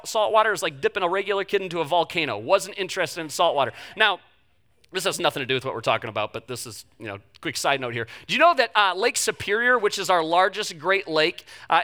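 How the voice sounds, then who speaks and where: Pastor, male, high bright voice, loose chatty preaching with jokes to the congregation. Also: expressive, quick pace. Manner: joking, chatty, self-deprecating asides. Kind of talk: casual conversational sermon. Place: church in front of a live congregation.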